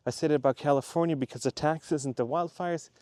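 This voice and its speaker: A man's voice: a little high, fast, warm tone, dry recording. Chatty man with a dry close sound. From Switzerland. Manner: chatty and rambling, repeats himself for emphasis. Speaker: YouTube creator. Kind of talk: casual talk to camera.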